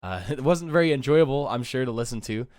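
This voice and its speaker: A young man talking casually to his channel's viewers in a subdued, low-energy, fairly monotone way, sounding sad and downcast. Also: brisk, slight background noise. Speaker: YouTuber and pro gamer. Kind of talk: casual vlog talk to camera.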